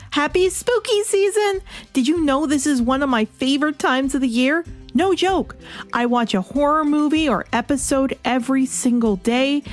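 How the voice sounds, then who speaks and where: High-voiced woman narrating a video essay. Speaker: host of a comic review show.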